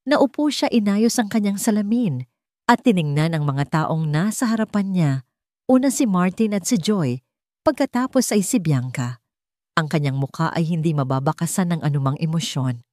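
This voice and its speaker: A woman's voice: fairly low pitch, expressive, moderate pace, a little mellow, no echo, clean studio sound. A woman narrator reading a scripted dramatic audiobook story.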